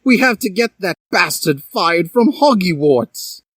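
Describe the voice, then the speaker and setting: Man putting on a high, indignant schoolboy. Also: animated, slow, a bit bright, husky, dry recording, studio quality, exaggerated voice. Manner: melodramatic, urgent.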